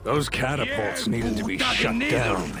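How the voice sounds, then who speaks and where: A man's voice: mid-range voice, huge pitch swings, unhurried. A male actor playing a grim, duty-bound armored knight in a video game cutscene, speaking in a terse military manner. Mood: urgent, resolute. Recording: loud music behind.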